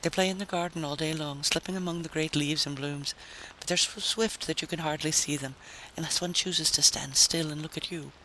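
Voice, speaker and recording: Man playing bright-voiced, silver-tongued wandering tramp. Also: a little high, steady tone, a little fast. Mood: dreamy, earnest. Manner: earnest, lyrical, coaxing. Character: sprightly, fanciful male tramp, silver-tongued and shifty. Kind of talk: 1950s science fiction radio drama. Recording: noisy vintage radio recording.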